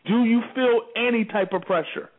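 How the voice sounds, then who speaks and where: A man's voice: very high-pitched, moderate pace. A man hosting a sports talk show, interviewing a guest with probing, supportive questions over the phone. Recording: tinny phone line.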